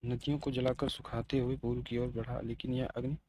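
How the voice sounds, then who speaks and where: A man giving an exam-preparation lecture as a teacher, haltingly repeating and restating his key phrases. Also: medium pitch, flat delivery, darkish tone, a little hiss or hum, rough recording. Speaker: teacher of exam history lessons.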